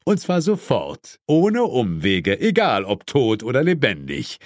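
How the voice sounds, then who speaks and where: A man's voice: medium pitch, wildly swooping pitch, no echo, studio quality. A man voicing a sneering, theatrical devil who gloats as lord of hell in a horror-comedy audiobook. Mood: thundering. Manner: sneering, theatrical, drawn-out words.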